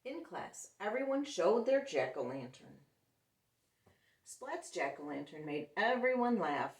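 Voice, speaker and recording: A woman's voice: fairly low pitch, measured pace. A woman reading a picture book aloud to young children, acting out the characters and asking the listeners questions. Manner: expressive, acts out the characters. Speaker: children's storyteller. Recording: roomy echo, distant microphone.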